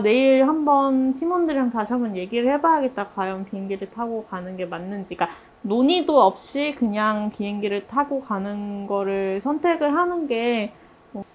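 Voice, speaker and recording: Higher-pitched woman in casual discussion. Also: dull audio. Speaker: theatre director hosting a team review interview.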